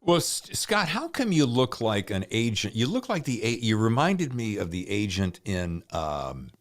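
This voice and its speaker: A man's voice: lowish voice, sing-song, measured pace. A man hosting a podcast, chatting informally and enthusiastically with his guests and jumping in as he goes. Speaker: podcast host. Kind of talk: interview chat.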